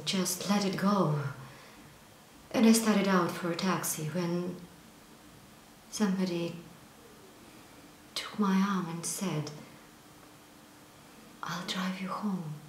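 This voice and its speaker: An actress performing a stage monologue as a young woman haunted by a painful memory, moving between hushed whispers and loud outbursts. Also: lowish voice. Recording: echoey room, faint noise.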